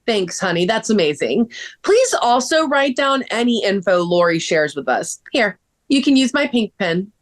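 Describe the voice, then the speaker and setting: A woman's voice: mid-range voice, big pitch swings, moderate pace, natural voice. A woman playing an earnest, take-charge amateur sleuth in a scripted table read. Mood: bossy, friendly.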